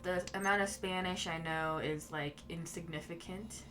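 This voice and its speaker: A woman whose first language is Mandarin, chatting casually with viewers while she plays a game as a streamer, in a relaxed and musing way. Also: lowish voice, moderate pace. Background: game music.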